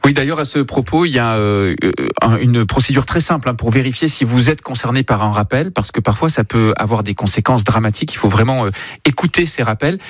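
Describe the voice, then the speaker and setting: A man's voice: medium pitch, fairly quick, no room sound. A man explaining things step by step in a conversational, instructive radio segment. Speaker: radio consumer columnist. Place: radio studio.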